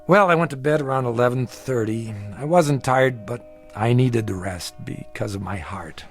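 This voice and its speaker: A man's voice: medium pitch, moderate pace, faint music behind, close to an everyday voice. A man playing a troubled, earnest middle-aged dreamer in a radio drama, confiding in an intense, hesitant, brooding way. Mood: haunted, recounting. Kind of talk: radio drama acting, confessional narration.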